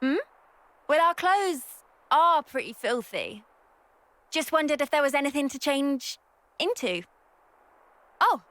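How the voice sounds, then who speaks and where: Perky, animated young female soldier. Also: sits high, unhurried, long pauses, no echo. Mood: casual. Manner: breezy, informal. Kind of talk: video game voice acting.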